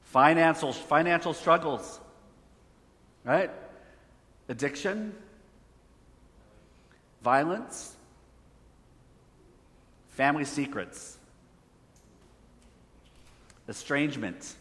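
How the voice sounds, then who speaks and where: A man's voice: on the high side, expressive, slow, pauses often, a bit bright. A man preaching a conversational sermon, asking the audience questions. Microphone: phone hotspot for slides. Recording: echoey hall reverb.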